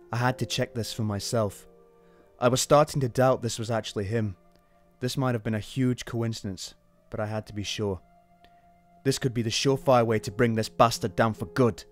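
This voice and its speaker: A man's voice: medium pitch, fairly even intonation, a little fast, lightly acted. Guy doing a weary obsessed cop, short fuse, sweary, medium voice, radio drama with a bit of music. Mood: brooding. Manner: brooding, muttering to himself, swearing. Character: hot-tempered, obsessive police detective, weary and foul-mouthed. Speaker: voice actor in amateur radio play. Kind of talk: amateur radio drama acting and inner monologue.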